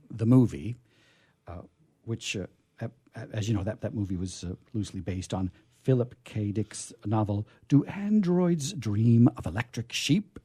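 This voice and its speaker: A man's voice: on the low side, mellow, slightly rough, no room sound. A middle-aged or older man hosting a radio show, chatting casually and enthusiastically in a rambling, self-deprecating way. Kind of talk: casual unscripted event chat.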